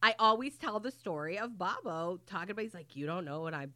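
A woman in her thirties hosting a casual chat, telling stories with a loud, projecting voice. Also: quick pace. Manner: animated storyteller, acts out quotes in a higher voice. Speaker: podcast host.

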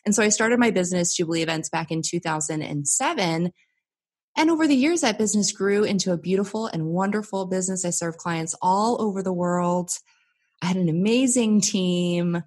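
A woman in her thirties from the United States guests on a podcast, telling her story with energy and optimism. Her voice is somewhat low and slightly raspy. She speaks in a lively, conversational way. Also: a little fast. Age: 30s. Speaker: business coach, podcast guest.

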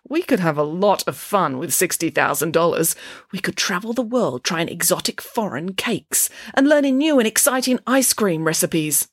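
A woman's voice: fairly low pitch, lively intonation, normal speed, no echo, studio quality. A woman voicing a cheerful, wily female pig from a children's story, chatty, polite and ingratiating. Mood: eager, breezy.

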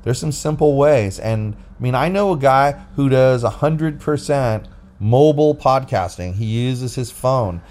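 A man's voice: medium pitch, a little slow, darkish tone. A man co-hosting a casual, unscripted podcast, explaining things in a rambling way into a phone. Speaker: podcast co-host. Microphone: recorded into a phone app.

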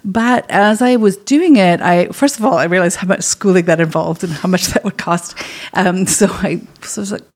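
A woman from Zimbabwe who works as a midwife, talking through her career in a meandering, thoughtful way with small restarts and soft laughs. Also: lowish voice, normal speed, few pauses. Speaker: midwife, interview guest. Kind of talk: casual conversational interview.

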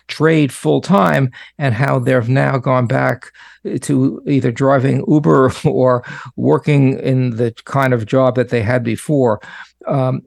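Guy cohosting a radio money show, gravelly, dry close mic, lots of ums. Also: mid-range voice, no room sound. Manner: relaxed, halting, many fillers. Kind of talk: casual radio talk.

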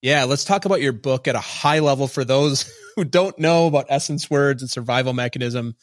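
A man's voice: mid-range voice, fairly quick, dry recording, studio quality. A man hosting a podcast interview, steering the guest with repeated prompts and questions. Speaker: podcast host. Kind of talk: interview conversation.

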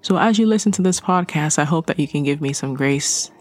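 A man's voice: a little high, a little fast. A man whose first language is not English, hosting a podcast. He reads out listener letters and breaks off for chatty, joking asides. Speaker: podcast host.

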